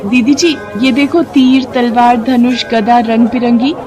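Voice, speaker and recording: A woman voicing a devoted, poor wife selling toys in a cartoon, speaking softly and pleadingly. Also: on the high side, steady tone, measured pace, music in the background, close to an everyday voice. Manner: soft, pleading.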